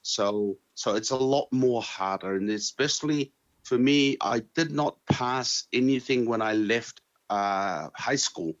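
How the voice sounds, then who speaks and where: A middle-aged man from Tonga with a Tongan accent, English being his second language, answering interview questions in an earnest, halting way that restarts and repeats phrases. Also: mid-range voice. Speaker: interviewee sharing his university experience. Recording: dull lo-fi recording.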